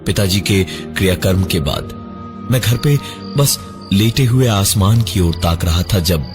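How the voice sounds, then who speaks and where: A man narrating a horror story in the first person, dramatically, with suspenseful, deliberate pauses. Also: low voice, animated. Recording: music behind the voice, 3D voice effects. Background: prominent music.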